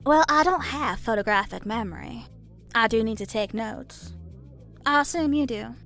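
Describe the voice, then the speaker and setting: A woman voicing a nosy, pushy female meerkat journalist who talks in a sharp, sarcastic way. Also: on the high side, normal speed, faint music behind, pitched above the performer's own voice. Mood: snide.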